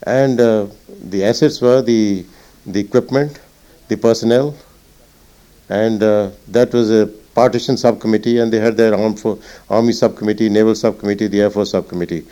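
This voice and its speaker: An older man speaks slowly and thoughtfully, pausing often on "uh" as he recalls events. His voice sits low and has a mellow, dark tone. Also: fairly even intonation. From Punjab. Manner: reflective, halting with many fillers. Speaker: retired naval officer. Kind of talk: oral history interview.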